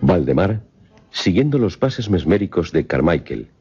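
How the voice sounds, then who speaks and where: A man narrating a scripted film synopsis in a measured, formal, dramatic storytelling manner. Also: deep voice, sing-song, warm tone, husky. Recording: slightly muffled voice-over with music. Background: music.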